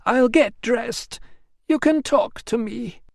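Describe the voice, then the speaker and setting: A woman's voice: a little high, takes it slowly, creaky, no room sound, clean studio sound, pitched above the performer's own voice. A man reading an audiobook, voicing a sad, hesitant middle-aged woman who is a brewer's daughter.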